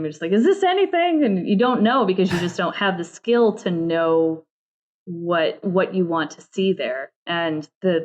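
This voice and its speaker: A woman with a fairly low voice chats casually as an interview guest. She drops quoted asides into her explanations. The audio is a little dull and lo-fi. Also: a little mellow. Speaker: interview guest, game narrative director. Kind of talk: casual chat.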